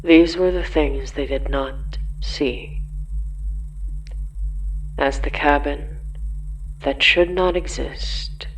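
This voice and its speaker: An ominous narrator reads scripted horror at an extremely slow pace. The voice is mid-pitched and deliberate, breaking the text into short phrases with pauses between them. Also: fairly even intonation, a little hiss or hum, low-quality recording.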